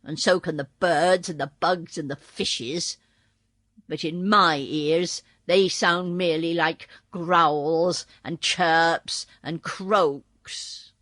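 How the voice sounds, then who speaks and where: A woman voicing a sullen, remorseful old male ferryman in a storybook reading, speaking low and slow. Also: fairly even intonation, fairly bright tone, no echo, studio quality. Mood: gruff.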